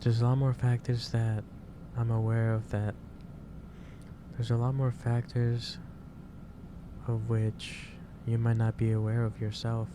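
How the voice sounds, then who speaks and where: A man playing a gentle, caring office boss in an ASMR roleplay, speaking haltingly with repeated phrases over a noisy background. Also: medium pitch, little pitch movement, close to an everyday voice. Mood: gently concerned. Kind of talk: soft-paced ASMR roleplay monologue. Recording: clearly noisy background hiss or ambience.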